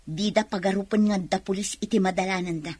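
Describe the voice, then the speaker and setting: A woman plays a resolute, alert policewoman in an Ilocano radio drama. Her voice is husky and mid-pitched, with a Filipino Ilocano accent. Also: steady tone, brisk, darkish tone, natural voice. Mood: focused.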